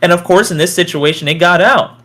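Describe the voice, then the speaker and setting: A man chatting casually as a podcast co-host, telling stories in a halting, informal way. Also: high voice, bright, forceful tone. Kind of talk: casual podcast chat, storytelling.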